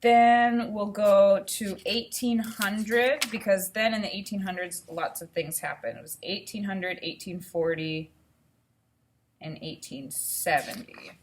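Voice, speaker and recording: A woman's voice: mid-range voice, fairly even intonation, takes it slowly, slight background noise. Woman teacher reading aloud and explaining. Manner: explanatory, thinking out loud. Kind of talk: instructional read-aloud with think-aloud commentary.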